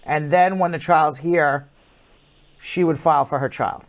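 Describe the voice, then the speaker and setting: Gravelly, blunt call-in show host. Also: male voice, a little high, steady tone. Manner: brisk, blunt, matter-of-fact advice. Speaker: immigration lawyer hosting a call-in show. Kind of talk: live call-in advice show.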